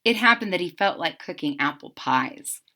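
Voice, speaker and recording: A woman reading a children's picture book aloud, expressive, adding chatty asides for young listeners. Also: fairly low pitch, moderate pace. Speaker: storybook reader.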